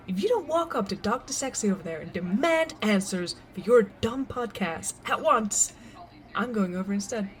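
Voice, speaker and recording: A woman's voice: mid-range voice, slight background noise, lightly acted, poor audio. A woman acting a brash, teasing friend in an urban fantasy audio drama, blunt, pushy and sardonic. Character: bossy, brash, teasing female friend of the barista.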